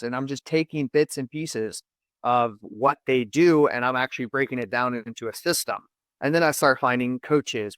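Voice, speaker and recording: A man's voice: medium pitch, dry recording. Upbeat Danish-American man, dry close mic. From United States and Denmark. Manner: enthusiastic storyteller, repeats "I'm" phrases. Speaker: podcast guest, video studio founder. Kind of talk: casual interview talk.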